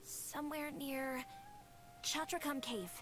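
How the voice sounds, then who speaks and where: A woman's voice: sits high, slow. A woman playing a hesitant, pensive young woman in a video game. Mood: hesitant, uneasy. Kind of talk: English video game voice acting.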